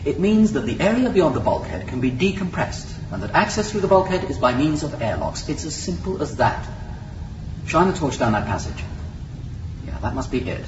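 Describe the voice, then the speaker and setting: Restless young starship crewman, lively pitch. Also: moderate pace. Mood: eager, defiant. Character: restless young male starship crewman, bold, defiant, curious. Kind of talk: 1980s British radio science fiction acting. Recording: muffled, noisy old radio drama recording.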